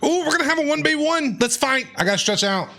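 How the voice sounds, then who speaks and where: A man giving casual live reaction commentary on a video game in an excitable, jokey way, often repeating himself. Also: extremely high pitch, fast, vocal fry. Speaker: game streamer reacting to a story quest. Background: game music.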